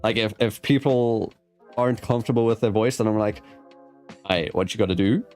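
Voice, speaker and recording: South african guy hosting a chill interview, kinda low voice, rambles and restarts a lot, faint music in the back. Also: fairly even intonation, fairly quick. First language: Afrikaans. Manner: chatty, rambling with many restarts. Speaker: host. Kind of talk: casual interview chat. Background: soft background music.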